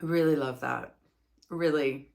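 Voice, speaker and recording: A woman's voice: sits low, fairly even intonation, takes it slowly, creaky, darkish tone. A woman from Canada with a Canadian accent, chatting casually as a host reviewing yarn, rambling and laughing at herself. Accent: Canadian English. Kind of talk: casual chat, product review.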